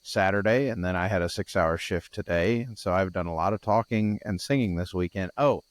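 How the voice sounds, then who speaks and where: A man's voice: low-pitched, brisk, no room sound. A tired man co-hosting a podcast in casual chat, with a groggy, scratchy voice, rambling and restarting his sentences. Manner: rambling, with frequent restarts and fillers. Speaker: podcast co-host.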